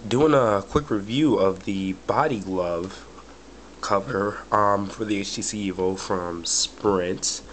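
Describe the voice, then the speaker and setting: A man's voice: on the low side, takes it slowly, a bit bright, slight background noise. Man with a cold, casual rambling. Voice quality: slightly cracky voice. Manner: rambling, many fillers like "but anyway" and "or whatever". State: has a bad cold. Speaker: product reviewer. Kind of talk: casual product review vlog.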